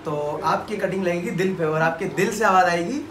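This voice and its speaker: High-pitched young Pakistani man vlogging. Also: a little fast, fairly bright tone, a little hiss or hum. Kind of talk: casual vlog talk to camera. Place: busy barber salon, indoors. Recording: busy salon chatter behind voice.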